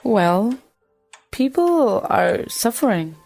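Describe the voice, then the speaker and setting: A woman's voice: fairly high pitch, sing-song, slow, vocal fry, a little mellow, faint music behind, full character voice. A woman playing a loyal, outspoken housemaid in an audio drama, earnest and formal. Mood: distressed, urgent.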